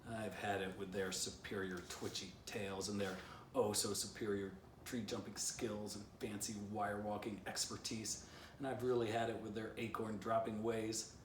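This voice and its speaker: Man voicing an excitable, indignant storybook dog, echoey room. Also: fairly low pitch, normal speed, close to an everyday voice. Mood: determined, ranting. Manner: melodramatic, ranting, emphatic. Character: excitable male dog, obsessively indignant about squirrels. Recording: roomy echo, distant mic, faint noise.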